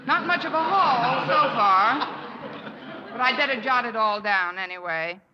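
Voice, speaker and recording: An actress playing a wisecracking high school teacher in a 1950s radio sitcom, with dry, sardonic delivery. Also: female voice, lively intonation, measured pace. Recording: old radio broadcast, dull and noisy.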